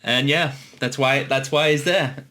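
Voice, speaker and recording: A man's voice: mid-range voice, measured pace, pushed and bright. A man giving casual creator commentary, talking conversationally about his own series.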